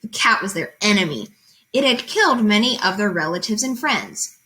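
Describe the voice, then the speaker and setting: A woman's voice: mid-range voice, lively intonation, moderate pace, bright, forceful tone, low-quality recording. A woman reading fables aloud and explaining them as a teacher, in an informal, conversational way with guiding questions.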